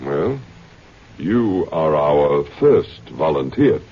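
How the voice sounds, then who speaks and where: An actor playing a courteous, superior alien overseer in a radio drama, speaking with formal, precise phrasing. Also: male voice, a bass voice, big pitch swings, drawn-out words, husky, full character voice. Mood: cool, measured. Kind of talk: mid-century radio drama acting. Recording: dull vintage radio recording with music.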